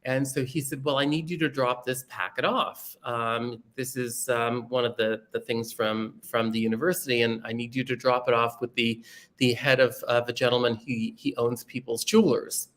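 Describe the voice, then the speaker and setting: A man from Canada and England chatting casually as an interview guest, telling stories in an animated way with careful diction and ready laughter. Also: medium pitch, moderate pace. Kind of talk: casual chat, anecdotal storytelling.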